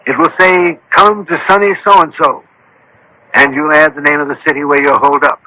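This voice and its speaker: An actor playing a commanding, precise scientist-detective in a radio drama, speaking formally and with authority. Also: male voice, fairly high pitch, fairly even intonation, normal speed, pushed and bright, poor audio. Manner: authoritative, formal, giving crisp orders. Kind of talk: 1970s-80s radio drama acting.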